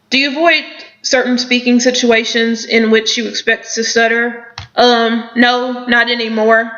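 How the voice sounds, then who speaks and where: A woman's voice: a little high, sharp tone, dull audio. A young woman who stutters, with repeated words, blocks and many fillers, reading out questions about her stuttering and answering them. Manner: stutters with repetitions and blocks, many ums, thoughtful.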